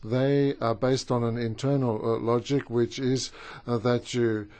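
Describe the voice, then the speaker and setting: A man with a strong Austrian German accent, a native German speaker, speaking haltingly as a trade union representative at a conference. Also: medium pitch, fairly even intonation, darkish tone. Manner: halting, with many fillers and restarts. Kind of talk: conference speech.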